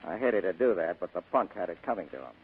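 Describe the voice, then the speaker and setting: An actor playing a cool, wisecracking hard-boiled private detective in 1940s radio style, clipped and cynical. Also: male voice, deep voice, very animated, quick pace, slight background noise. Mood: hard bargaining. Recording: muffled vintage radio recording.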